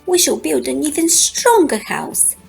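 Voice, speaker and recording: Storybook little wolves: young, plucky, polite, very high and emphatic, childlike played by a woman. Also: very animated, a little slow, vocal fry, faint music behind, full character voice. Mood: determined.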